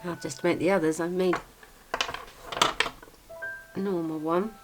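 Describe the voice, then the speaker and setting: A woman chatting casually in a gentle, unscripted, self-deprecating way as she co-hosts a craft video. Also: lowish voice, fairly even intonation, a little slow. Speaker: craft channel co-host. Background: background music.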